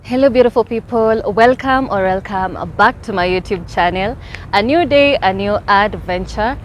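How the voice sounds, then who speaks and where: A woman's voice: mid-range voice, moderate pace. A young Kenyan woman with a Kenyan accent, vlogging outdoors in a city park and giving upbeat travel tips. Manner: upbeat, explanatory, warm welcome to viewers. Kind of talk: casual travel vlog.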